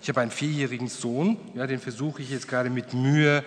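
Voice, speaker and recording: A man's voice: medium pitch, steady tone, fairly quick. Middle-aged male moderator, probing questions, table mic, reverberant hall. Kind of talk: panel discussion. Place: wood-panelled hall, indoors. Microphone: table microphone.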